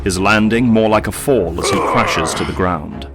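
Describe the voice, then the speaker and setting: A man's voice: lowish voice, moderate pace. A man reading dramatic scripted narration for an audio drama. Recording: loud music under the voice. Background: loud music.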